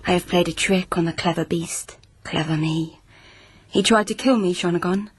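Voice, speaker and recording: A woman's voice: lowish voice, moderate pace, husky. An actress in a radio drama playing an unhinged, murderous royal lady who talks in an eerie, entranced way. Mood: proud, unhinged.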